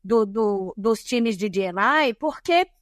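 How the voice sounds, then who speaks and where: A woman's voice: mid-range voice, animated, slow pace, no room sound, clean studio sound. A woman with a Bahian Brazilian accent, a guest on a podcast interview, explaining diversity and compliance in an emphatic, teaching way. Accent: Bahian Brazilian Portuguese. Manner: emphatic and didactic, lists points.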